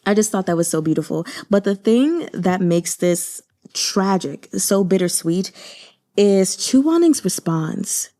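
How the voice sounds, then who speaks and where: A woman hosting a book channel, giving casual commentary in a gushing, expressive manner. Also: medium pitch, a little slow. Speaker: host of a book reading channel.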